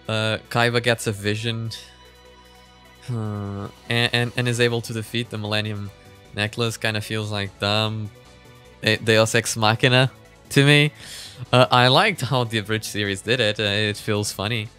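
A man in his twenties giving casual reaction commentary, hesitant and halting, on a close mic. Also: medium pitch, pushed and bright. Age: 20s. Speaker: reaction video host. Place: small home room. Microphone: close studio mic on boom arm.

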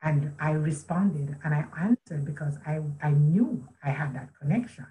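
Low-voiced woman telling a story. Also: normal speed, warm tone, poor audio. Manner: earnest, reflective, with many restarts and quoted speech. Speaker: author and guest. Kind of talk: storytelling in a video call interview. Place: room at home with a chandelier. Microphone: video call webcam.

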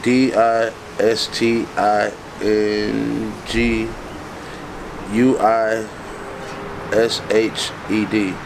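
A man's voice: on the low side, flat delivery, very slow. A man competing in a casual spelling contest, bantering and spelling words aloud outdoors. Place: outdoor back deck. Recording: clear outdoor background noise.

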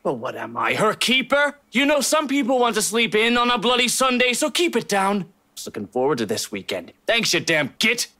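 A man's voice: sits high, huge pitch swings, moderate pace, bright, forceful tone, heavily acted. An actor playing a grumpy, sarcastic, foul-mouthed male neighbor in a visual novel game. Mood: grumpy sarcasm. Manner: sarcastic, irritable.

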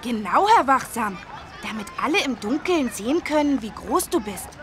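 A woman's voice: on the high side, big pitch swings, normal speed, a faint crowd. A woman voicing an eager, helpful little boy in a children's educational game. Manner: eager, chirpy. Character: bright, helpful little boy, the elephant's friend.

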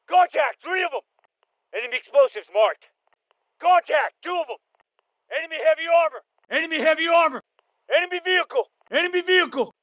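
Casting: a clipped, urgent game soldier, very high-pitched voice, dry close sound. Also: male voice, fairly bright tone, no echo, rough recording. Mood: alert. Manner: terse, clipped, urgent commands. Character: clipped, urgent male soldier in combat, military operator. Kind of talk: scripted military shooter callouts.

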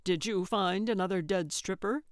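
A grumpy, stubborn man, read by a woman narrator in an audiobook. He is curt and exasperated, with a clear, smooth tone in a dry recording. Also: medium pitch, moderate pace, no room sound, lightly acted. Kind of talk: audiobook character voice.

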